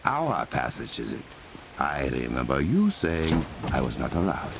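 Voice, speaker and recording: An actor playing a stern young African warrior king in a video game, speaking formally with measured command. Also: very deep voice, huge pitch swings, gravelly, a little hiss or hum, full character voice. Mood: dry teasing. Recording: game music behind the voice.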